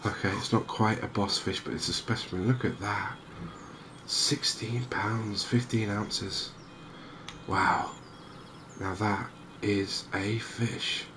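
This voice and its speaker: Man, lowish voice, fairly even intonation, soft ASMR game commentary, gentle and musing, noticeable ambient noise. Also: slow. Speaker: gaming video host. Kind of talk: softly spoken cozy ASMR gaming commentary. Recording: clear steady ambient background noise.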